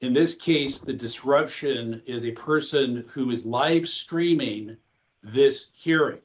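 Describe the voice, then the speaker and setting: A man presiding over a hearing, making formal procedural announcements in a halting, deliberate way, on lo-fi playback. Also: medium pitch. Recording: dull lo-fi audio played through a phone.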